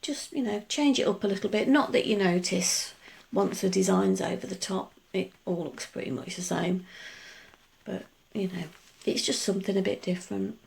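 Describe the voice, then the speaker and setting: A middle-aged woman chats through her nail art steps, wandering off into asides as she goes. Her voice is gruff and full of gravelly fry because she has only just got up. Her pitch sits in the middle. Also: moderate pace, a little mellow. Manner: chatty, rambling explanations with many asides. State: just woken up. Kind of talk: casual tutorial voice-over.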